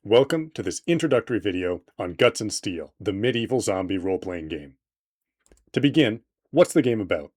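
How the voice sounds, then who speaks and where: A man's voice: on the low side, animated, normal speed, darkish tone. A man reading scripted promotional narration as a game creator presenting an introduction, with a playful, tongue-in-cheek manner.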